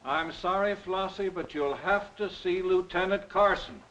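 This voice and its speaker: A man's voice: high voice, unhurried, fairly bright tone, slight background noise. An actor playing a placating man in a 1940s film, soothing and humouring someone angry. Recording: dull, clicking 1940s film soundtrack.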